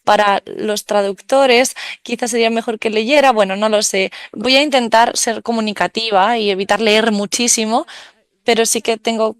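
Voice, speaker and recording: Fairly high, dry-voiced woman interpreting live. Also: steady tone, moderate pace, clean tone, dry recording. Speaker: simultaneous interpreter. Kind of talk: live interpretation of a conference talk.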